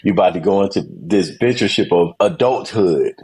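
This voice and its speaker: A man's voice: sits low, animated, a little fast, a little mellow, breathy edge. A man hosting a casual interview, asking laid-back questions and teasing in street slang. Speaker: interview host.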